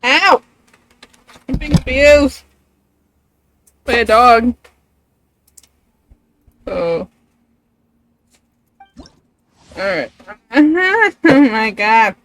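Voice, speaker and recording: A woman's voice: fairly high pitch, lively intonation, very slow, pauses often. A woman chatting casually as she streams a video game, easily distracted and loose with her language. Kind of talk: casual live gameplay chat. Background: background noise with a loud pet dog.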